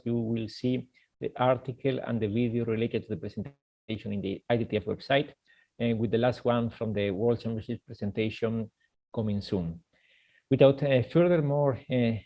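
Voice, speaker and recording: A man chairing a formal online meeting, speaking in a measured way with frequent "uh" pauses. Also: medium pitch, lo-fi sound. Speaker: meeting chair, secretary general.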